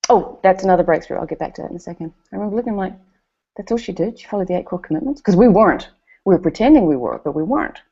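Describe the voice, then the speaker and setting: A woman's voice: fairly low pitch, very fast, husky, lo-fi sound. A woman from New Zealand with a New Zealand accent gives a motivational speech at a company event, telling stories and acting out what others said. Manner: animated storytelling with quoted voices.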